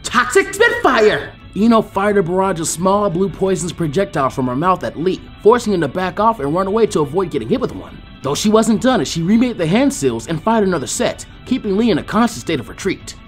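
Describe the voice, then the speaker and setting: Animated, higher-pitched male story narrator. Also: fast, hardly any pauses, faint music behind. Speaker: fan fiction reader.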